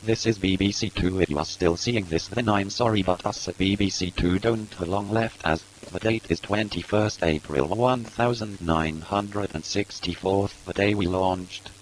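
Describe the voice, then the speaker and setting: Male actor as grave broadcast announcer, deep pitch, formal scripted delivery, audible background noise. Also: normal speed, few pauses, natural voice. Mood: solemn. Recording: clearly noisy recording.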